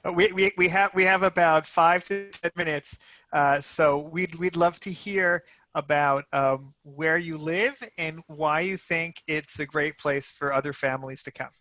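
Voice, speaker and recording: A man moderating a webinar over a video call, brisk and friendly. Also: a little high, steady tone, pushed and bright, no echo. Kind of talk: webinar talk.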